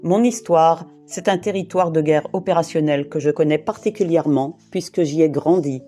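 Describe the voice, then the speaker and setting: Grave woman reading a measured testimony. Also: low-pitched, warm tone, soft background music. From Île-de-France, France. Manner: grave, deliberate, long lists of short phrases. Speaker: interviewee giving testimony. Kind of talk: prepared first-person testimony, read aloud.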